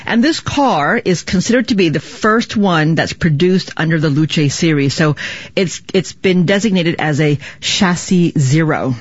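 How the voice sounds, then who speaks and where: A woman's voice: sits low, expressive, a little slow, slightly rough, no room sound. A woman gives a live business report in a conversational way, explaining as a correspondent. The sound is dry and somewhat muffled. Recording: dull lo-fi audio.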